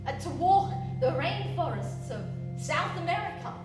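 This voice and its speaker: A woman's voice: very high voice, very animated, normal speed. A woman playing an adventurous, stubborn young dreamer in a radio play, speaking in a theatrical, earnest way. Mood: dreamy. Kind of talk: stage radio play acting. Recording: roomy, distant stage recording with loud music.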